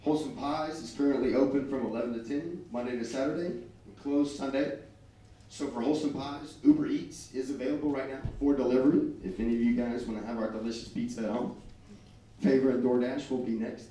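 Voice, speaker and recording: A man's voice: medium pitch, fairly even intonation, brisk, warm tone. A man presenting his new restaurants at a business meeting, losing his voice, upbeat and promotional. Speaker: restaurant representative. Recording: echoey room, far from mic.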